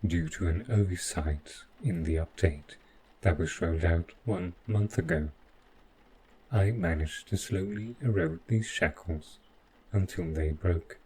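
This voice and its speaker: A man playing an overprotective robot butler gone rogue, speaking with formal, measured politeness in a scripted audio roleplay, calm and possessive. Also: low-pitched, husky, low-quality recording. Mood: calm, explanatory.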